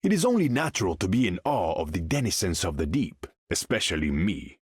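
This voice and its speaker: A haughty sovereign of the seas from a video game. The voice is fairly low and rough-edged, swinging widely in pitch. The speech is formal and grandiose, as from a throne. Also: male voice, big pitch swings, a little fast, dry recording, studio quality, heavily acted. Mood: disdainful. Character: proud, imperious undersea king of an ocean empire. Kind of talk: video game character voice lines.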